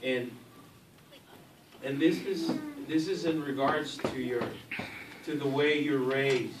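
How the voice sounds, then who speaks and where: A man's voice: medium pitch, slow. An older man, a grandfather, giving a blunt, admonishing speech to his family at a reunion, repeating his warnings. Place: large room at family gathering. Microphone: distant from recorder. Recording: echoey room, distant, noisy.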